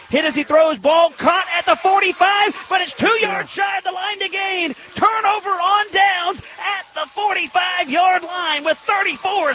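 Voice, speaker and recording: A very high-voiced American man calls football play-by-play for radio. His tone is bright and forceful, and he delivers short, punchy phrases that build toward big shouted calls.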